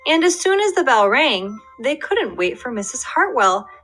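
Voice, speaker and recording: A woman's voice: sits high, sing-song, moderate pace, a bit bright, faint music behind. A young adult woman, a first grade teacher, reading a picture book aloud to her class and talking to her students.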